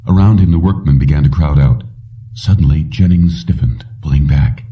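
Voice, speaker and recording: A man reading a suspense story as an audiobook narrator, in measured, dramatically broken phrases. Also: rumbling low, distant mic, dull audio.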